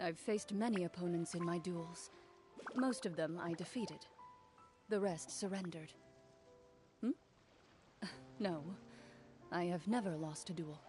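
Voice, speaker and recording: Casting: actress for a young female champion duelist in a game, composed and stern, soft dark tone, formal measured delivery, music underneath. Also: on the low side, close to an everyday voice. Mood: coolly confident. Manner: measured, formal, self-assured. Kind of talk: video game character dialogue.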